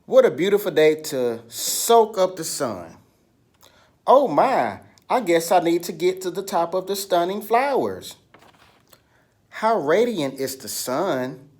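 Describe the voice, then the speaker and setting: A man's voice: a little high, very animated, slow, a little mellow, voice pushed up, heavily acted. A small, cheerful creature acted out by a man for a children's activity. The voice is lifted above normal talk, exclaiming in theatrical wonder. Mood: wonderstruck.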